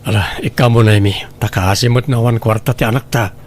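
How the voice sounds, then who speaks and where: A man's voice: mid-range voice, a little fast, loud music behind the voice, lightly acted. A man with an Ilocano accent playing a proud, unbending businessman father in a radio drama, firm and dismissive. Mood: fatherly.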